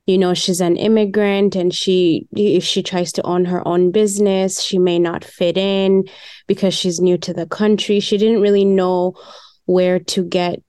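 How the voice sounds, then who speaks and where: A woman's voice: lowish voice, level tone, a little slow, dark tone, clean tone, no room sound, studio quality. A woman interviewer asking long, hesitant questions with frequent restarts. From grew up under a British system before Canada. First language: English. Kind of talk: conversational interview.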